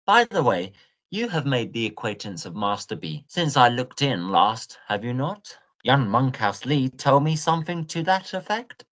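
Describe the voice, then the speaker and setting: A man's voice: medium pitch, very animated, moderate pace, a bit bright. A man playing a chatty young Victorian Oxford student in an audio drama, jaunty and full of old-fashioned slang. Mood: breezy, advising.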